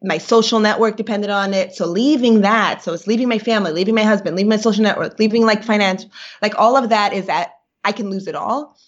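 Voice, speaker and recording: A woman in her thirties from New York whose first language is Yiddish speaks reflectively, often pausing to search for the right word. Her voice sits at a middle pitch. The recording sounds muffled and lo-fi. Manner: reflective, searching for words, many fillers. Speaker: guest. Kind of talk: personal interview. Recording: dull lo-fi recording.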